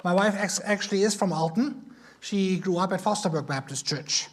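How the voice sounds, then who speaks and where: A man with a German accent and a noticeably high voice teaches a church class over a microphone. He talks quickly and pokes gentle fun at himself. His delivery stays informal and conversational. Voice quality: high-pitched. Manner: talks quickly, self-deprecating humor. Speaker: missionary teaching Sunday school. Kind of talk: informal Bible class talk.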